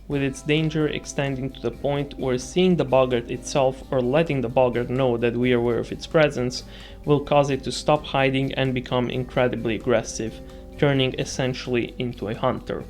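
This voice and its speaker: A man reads scripted video essay narration in a medium-pitched voice. He phrases it like a suspenseful storyteller, with dramatic delivery, and faint music plays underneath.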